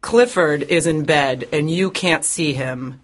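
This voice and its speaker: A male audiobook narrator voicing a bitter old woman who defends her brother, in a husky voice. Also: on the high side, slow pace, a bit bright, voice pushed up, heavily acted. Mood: cold. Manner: pleading, accusing. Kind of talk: audiobook character voice.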